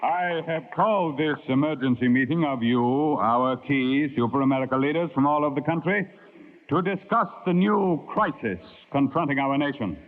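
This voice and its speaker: A scheming chairman in a vintage radio thriller. He speaks in pompous, formal, measured phrases, pitched a little high for a man. The sound is narrow and muffled, like an old broadcast. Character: scheming male chairman of a bogus patriotic society. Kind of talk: 1940s-50s radio drama acting.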